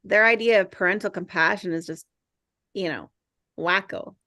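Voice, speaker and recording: A woman's voice: mid-range voice, moderate pace, sharp tone, dry recording, studio quality. A woman reading an earnest, awkward, nerdy teenage boy who plays peacemaker at a table read of a film script.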